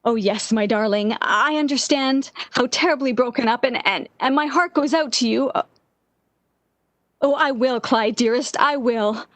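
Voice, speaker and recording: An actress playing an obsessed, manipulative woman in love, theatrical and deliberate, in 1940s radio drama style. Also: a little high, a bit bright, no echo. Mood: sweetly feigned sympathy.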